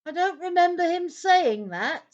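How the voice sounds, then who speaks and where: A woman playing a patient storyteller in a children's audio play, narrating in a deliberate, expressive, theatrical way. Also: very high-pitched, dull audio. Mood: exasperated, teasing. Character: patient female storyteller, gently exasperated with her characters.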